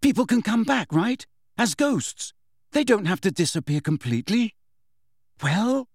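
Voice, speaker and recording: A man narrator performs a pleading teenage boy wizard from a storybook, pitched up high. He is impatient and insistent, firing urgent questions with swooping intonation. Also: very animated, normal speed, dry recording, clean studio sound, heavily acted.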